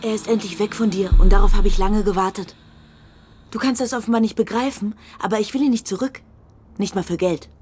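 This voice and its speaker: A woman's voice: mid-range voice, fairly quick, darkish tone, husky, soft background music, close to an everyday voice. An actress playing a scornful, indignant woman in a German radio drama, cutting and sarcastic as she accuses. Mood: accusing, bitter.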